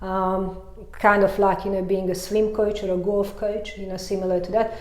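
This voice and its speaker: Woman, Hungarian accent, mountain bike coach, chatty with lots of fillers, flat-sounding delivery, roomy echo. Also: medium pitch, normal speed. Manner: chatty, laughing, many "you know" fillers. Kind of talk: casual interview chat. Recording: echoey room.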